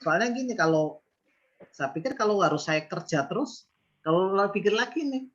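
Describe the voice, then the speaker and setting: A man's voice: high-pitched, expressive, moderate pace, a bit bright, lo-fi sound, a little hiss or hum. A man in his fifties, a business owner, explaining his views earnestly in an interview, often asking rhetorical questions. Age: 50s. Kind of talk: reflective interview talk.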